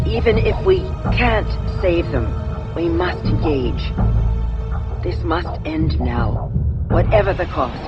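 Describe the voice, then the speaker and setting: An actress playing a resolute warship intelligence officer in a sci-fi game, measured and military in delivery. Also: female voice, deep voice, highs cut off. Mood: grave, determined. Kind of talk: scripted sci-fi game dialogue. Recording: loud music under the voice.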